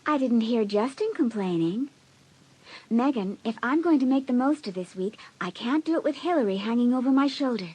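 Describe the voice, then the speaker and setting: Smug, husky teenage girl. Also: fairly high pitch, expressive, moderate pace, mellow. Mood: smug, dismissive. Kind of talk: dramatised audiobook acting.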